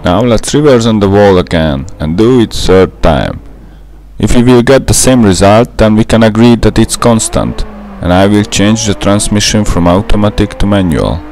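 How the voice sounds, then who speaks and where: A young man speaks with a Hungarian accent in a very low voice. He explains each step carefully and methodically, the way a game tutorial narrator would. Faint car and engine sounds sit in the background. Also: expressive, normal speed, darkish tone, a little hiss or hum. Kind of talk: scripted gameplay voice-over.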